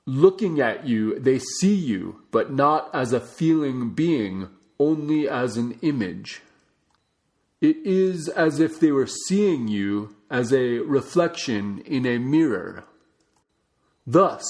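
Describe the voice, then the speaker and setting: A man reading a non-fiction book aloud as a narrator, with measured, deliberate phrasing. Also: medium pitch, a little mellow. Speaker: audiobook reader.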